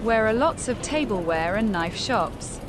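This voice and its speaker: A woman's voice: a little high, animated, a little slow. A synthetic female text-to-speech voice reading out viewer messages. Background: outdoor traffic noise.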